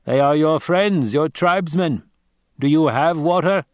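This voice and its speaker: A man reading an audiobook, voicing a blunt, cryptic male desert tribesman who speaks slowly and asks direct questions. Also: on the high side, no room sound, clean studio sound, voice pushed up, natural voice. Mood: stern, blunt. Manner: slow, measured, direct questioning. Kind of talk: audiobook character voice.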